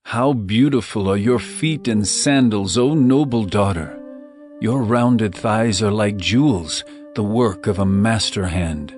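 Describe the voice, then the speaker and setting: An actor playing an adoring royal lover, declaiming love poetry in a measured, ardent way for a dramatized scripture audiobook. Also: male voice, lowish voice, darkish tone, soft background music. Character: adoring royal male lover praising his bride. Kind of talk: poetic dramatized scripture reading.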